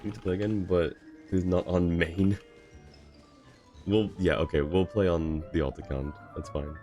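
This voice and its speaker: A man chatting casually on a game livestream in a halting, chatty way. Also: deep voice, steady tone, warm tone, faint music behind. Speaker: game streamer.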